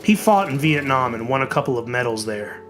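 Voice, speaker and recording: A narrator reading a horror story in a theatrical, dramatic way, with emphatic, drawn-out words. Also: mid-range voice, expressive, music in the background.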